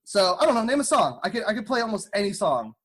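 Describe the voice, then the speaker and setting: A man's voice: sits high, big pitch swings, fast talker, a bit bright. A man hosting a comedy podcast, chatting casually and acting out his stories with exaggerated voices.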